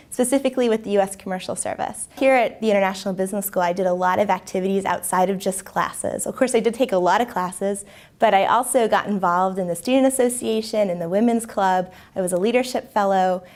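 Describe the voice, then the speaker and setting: A woman's voice: medium pitch, quick pace, darkish tone. Upbeat young American woman, MBA student. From United States. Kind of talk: testimonial interview.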